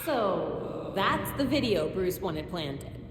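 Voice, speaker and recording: An actress playing a wry, dry-witted crime-fighter in a video game, speaking conversationally. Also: female voice, lowish voice, big pitch swings, slow. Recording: echoey hall, clear noise.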